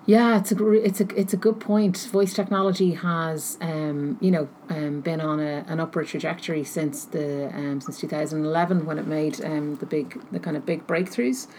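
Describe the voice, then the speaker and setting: A woman answering interview questions thoughtfully, pausing often as she searches for her words. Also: sits low, steady tone, warm tone, poor audio. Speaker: interview guest, technology researcher. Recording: noticeable background noise.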